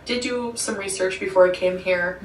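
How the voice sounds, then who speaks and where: A young woman chats to her viewers in a loose, rambling way, tossing in fillers and little laughs. Her mid-pitched voice bounces around a hard room and sits a step back from the microphone. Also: steady tone, normal speed, reverberant, recorded from a distance, a crowd in the background, poor audio. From Ottawa, Canada. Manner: chatty, rambling, with frequent "like" and small laughs. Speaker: vlogger. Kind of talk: sit-down talk vlog.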